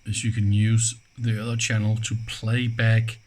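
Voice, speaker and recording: A man's voice: lowish voice, completely flat delivery, measured pace, darkish tone. A man gives a casual, hands-on technical demonstration in an informal, enthusiastic manner. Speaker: host of an electronics teardown channel.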